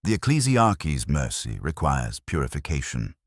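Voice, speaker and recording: Man voicing a deep, pitiless female zealot commander. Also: big pitch swings, moderate pace, no echo, clean studio sound, full character voice. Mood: cold command. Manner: cold, commanding, doctrinal.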